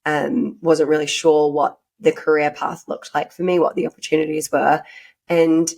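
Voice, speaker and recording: A woman's voice: deep voice, little pitch movement, normal speed, creaky, a little mellow. A woman from New Zealand with a New Zealand accent, talking conversationally as an interview guest in an informal, hedging way. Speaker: podcast guest.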